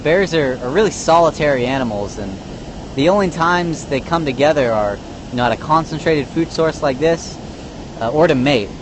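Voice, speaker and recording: A man's voice: fairly high pitch, lively intonation, normal speed. Laid-back man ranger, noisy outdoors. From North Bay of California. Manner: laid-back, explaining with lots of you know. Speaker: park ranger. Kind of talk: casual live-stream explanation. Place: outdoor elevated viewing platform by a river. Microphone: phone live-stream. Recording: outdoor noise behind the voice.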